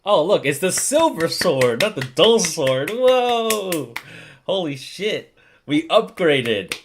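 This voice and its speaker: A man streaming a game with casual, joking, excitable reactions and plenty of swearing. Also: on the high side, slow pace, slight background noise. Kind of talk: casual reaction commentary over gameplay.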